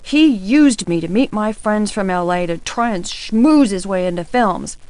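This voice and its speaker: A woman acts a sharp-tongued studio insider in a video game. Her delivery is snippy and dramatic, with a medium pitch that moves around a lot, in a dry recording. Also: animated, measured pace, no echo, natural voice. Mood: bitter. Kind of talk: acted video game dialogue.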